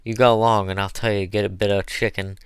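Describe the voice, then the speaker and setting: A man's voice: fairly low pitch, flat delivery, fast, clean tone, dry recording, close to an everyday voice. A man voicing an eager, good-humored woman, an enslaved cook, in folksy dialect for an audiobook. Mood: happy, bustling. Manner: folksy dialect with mispronounced words. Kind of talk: audiobook character voice.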